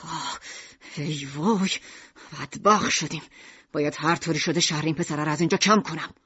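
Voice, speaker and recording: A woman's voice: sits low, a bit bright, husky, pitched below the performer's own voice, full character voice. A hot-tempered storybook shopkeeper and father, acted by a woman, speaks brusquely and grumpily. The sound is somewhat dull and lo-fi. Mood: gloomy grumbling. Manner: brusque, sales patter turning to bellowing. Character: grumpy, hot-tempered male poison-shop keeper, a father.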